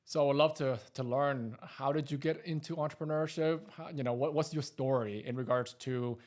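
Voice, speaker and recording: A man's voice: medium pitch, fairly even intonation, moderate pace, a little mellow. A man hosting an interview in a studio, talking with many fillers and repeated words. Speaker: interview host.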